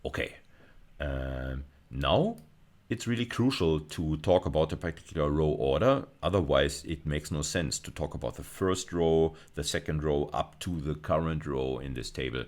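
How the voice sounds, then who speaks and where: A man with an exceptionally deep voice delivers an instructional lecture. He is deliberate and emphatic, often repeating a phrase or rewording it as he explains. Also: a little mellow. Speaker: university lecturer.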